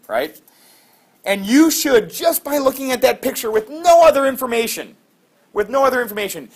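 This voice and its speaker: A middle-aged man teaching a class, lively and quizzing students with quick questions. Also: high-pitched.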